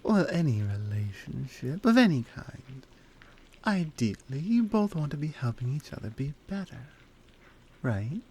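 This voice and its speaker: A woman voicing a flirty, confident lioness in an intimate, teasing ASMR roleplay, softly coaxing and often slipping toward a whisper. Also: low voice, very animated, unhurried, heavily acted. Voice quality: soft, breathy. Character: flirty, confident, forward adult lioness armorsmith.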